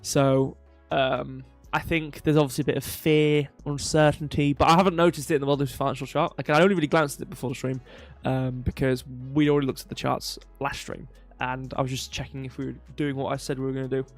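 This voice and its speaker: A man's voice: medium pitch, little pitch movement, fairly quick, few pauses, faint music behind. A man with a slightly stuffy, nasal voice hosting a casual livestream of market commentary, digressing with self-deprecating humour, close to the microphone. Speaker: crypto livestream host.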